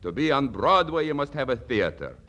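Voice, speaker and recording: An actor playing an excitable, boastful Russian impresario with a thick accent and comic broken English, in 1940s radio comedy style. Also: male voice, medium pitch, brisk. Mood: thrilled.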